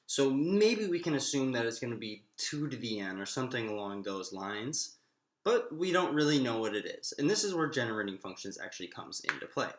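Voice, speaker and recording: A man teaches mathematics informally in a roomy, echoing space. His pitch moves around in a lively way as he works things out aloud. The delivery is halting, with stretched pauses between phrases. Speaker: math lecturer. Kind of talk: informal lecture, working through a derivation aloud. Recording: roomy, echoing room sound.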